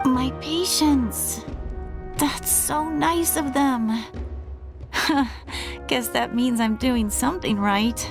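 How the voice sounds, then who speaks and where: A woman's voice: high voice, slow, vocal fry, over music, heavily acted. A woman playing a cheeky, stubborn, spirited young female doctor in a video game, with a playful, breezy manner. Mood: touched.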